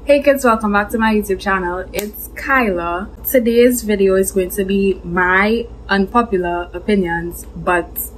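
Young caribbean girl ranting her opinions to camera, says like a lot, lively, faint room hum. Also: medium pitch, unhurried. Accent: Caribbean English. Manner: outspoken, animated, peppered with "like". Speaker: vlogger. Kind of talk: casual opinion vlog. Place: small bedroom, indoors.

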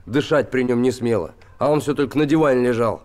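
An actor in his thirties playing a gruff, warm-hearted small-town handyman, lovesick and bitter, talking in blunt colloquial speech in a naturalistic TV drama. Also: mid-range voice, fairly quick, natural voice. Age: 30s. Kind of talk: naturalistic television drama acting. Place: small wooden house room.

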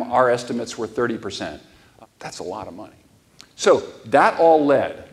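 A middle-aged man giving a conference keynote lecture on a ballroom stage, explaining steadily with emphatic stresses. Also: mid-range voice. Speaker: keynote speaker.

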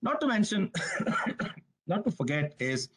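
Higher-pitched man giving a business webinar. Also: very animated, a bit bright, husky. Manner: brisk, conversational, frequent "you gotta". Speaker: webinar presenter. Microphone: video call.

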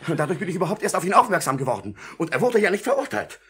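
A man's voice: a little high, big pitch swings, racing, pushed and bright, husky, heavily acted. A man playing a cold-hearted, scheming villain in a 1950s radio drama, imperious and conspiratorial. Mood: angry, demanding.

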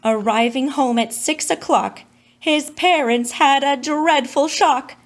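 Female storybook reader: highish voice, unhurried pace, children's read-aloud narration. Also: slight background noise.

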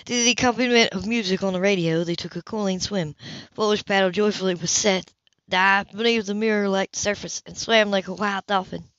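A woman's voice: mid-range voice, fairly quick, fairly bright tone, no room sound. Woman reading an audiobook at home, trips on words sometimes, dry muffled lofi sound. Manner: occasional stumbles over words. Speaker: amateur audiobook reader. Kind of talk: scripted narration, reading a novel aloud. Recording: dull, lo-fi home recording.

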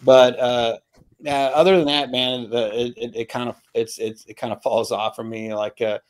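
A middle-aged man with a mid-pitched voice chats casually about music on a video call. He is opinionated and halting, often repeating words to drive a point home. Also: steady tone. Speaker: album review panelist. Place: home room with record shelves.

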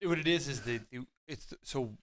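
Bright-voiced man chatting casually. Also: mid-range voice, moderate pace, a little hiss or hum. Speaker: off-camera production helper. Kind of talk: casual chat.

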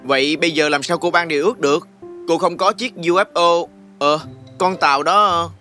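Man playing kind, higher-voiced patient guide. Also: fairly even intonation, moderate pace, bright, forceful tone, soft background music. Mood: questioning, patient. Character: kind, patient human man guiding a newcomer. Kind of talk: dubbed fantasy film dialogue.

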